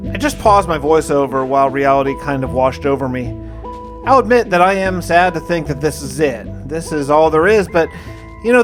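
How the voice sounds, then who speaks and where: A man with a fairly high-pitched voice narrates a survival game as first-person roleplay. He talks casually and confidingly, as if keeping a personal log, using mild everyday exclamations. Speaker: gamer narrating his survival challenge.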